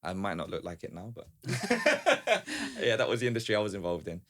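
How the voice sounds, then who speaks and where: Male podcast guest, informal and talkative, lowish pitch, rapid delivery. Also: fairly bright tone. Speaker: guest, small business founder. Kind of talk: casual podcast conversation.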